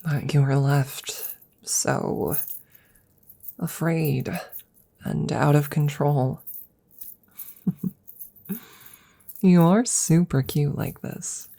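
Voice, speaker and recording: A woman playing an affectionate, teasing witch in an ASMR audio roleplay, speaking softly and gently, often dropping into close whispers. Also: low-pitched, steady tone, exaggerated voice. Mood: warm, reassuring. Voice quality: soft, breathy, husky, often dropping to whispers. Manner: gentle, unhurried, cooing, playfully teasing. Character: affectionate, teasing young witch doting on her werewolf familiar.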